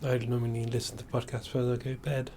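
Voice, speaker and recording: A man co-hosting a casual podcast chat, laid-back and quick to agree. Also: mid-range voice, level tone, mellow. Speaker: podcast co-host. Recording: faint background noise.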